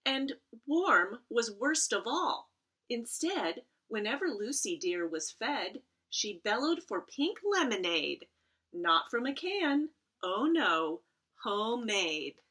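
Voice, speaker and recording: Slow-paced woman talking to children. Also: on the high side, very animated, bright, forceful tone, slightly rough. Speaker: school librarian reading aloud to children. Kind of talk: casual chat and read-aloud storytelling for children. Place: at home.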